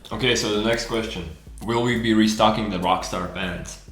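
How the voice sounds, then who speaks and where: A man's voice: fairly low pitch, moderate pace, fairly bright tone, soft background music. A man from Latvia with a Latvian accent, chatting casually as a co-host in a question-and-answer session. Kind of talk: casual Q&A chat. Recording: echoey room, background noise.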